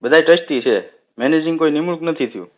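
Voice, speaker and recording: Male, rural Saurashtra Gujarati accent, slightly high voice, phone-band sound, explanatory and checking in as he talks. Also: fast talker. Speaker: village head on a phone call. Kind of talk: casual phone conversation. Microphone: telephone. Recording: tinny narrowband phone call recording.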